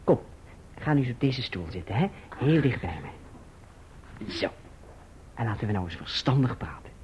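Guy doing a tender earnest young husband, gently scolding, very up and down voice, old muffled radio play. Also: mid-range voice, big pitch swings, moderate pace, close to an everyday voice. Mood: coaxing, earnest. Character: doting, patient, gently reproving young husband. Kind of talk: old radio drama acting. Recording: dull, faintly noisy old radio recording.

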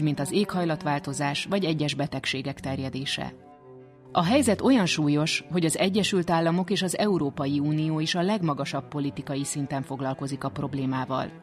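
A man presenting a science programme in scripted narration. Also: fairly high pitch.

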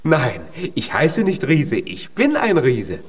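A man's voice: fairly high pitch, huge pitch swings, takes it slowly, breathy edge, exaggerated voice. An actor in a children's radio play, playing a tiny male giant who is naive, earnest and full of hopeful pluck.

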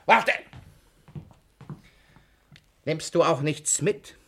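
Gravelly, volatile old miser. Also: male voice, a little high, lively intonation, measured pace, a bit bright, full character voice. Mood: suspicious, threatening. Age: older. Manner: suspicious, blustering, muttering asides. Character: paranoid, irascible old miser and father. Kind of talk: German stage-comedy radio play acting.

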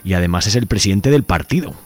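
A male radio host with a Spanish accent and a fairly low voice. He talks quickly and conversationally, prompting his guest with a straight face and frequent tag questions. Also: expressive, darkish tone. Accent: Spain. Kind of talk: mock-serious conversational interview.

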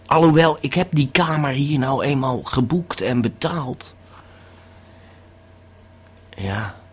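A man's voice: mid-range voice, takes it slowly, slight background noise, close to an everyday voice. Man playing a stammering tripping tourist. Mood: rambling, uneasy. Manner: stammering, repeating words. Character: rambling male tourist tripping on a pill. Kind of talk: radio drama monologue.

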